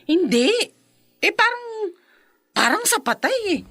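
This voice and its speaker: A woman's voice: extremely high pitch, sing-song, unhurried, bright, forceful tone, a little hiss or hum, heavily acted. An actress playing a blunt, wisecracking woman friend in a Filipino radio drama, with a teasing, gossipy manner and a Filipino accent.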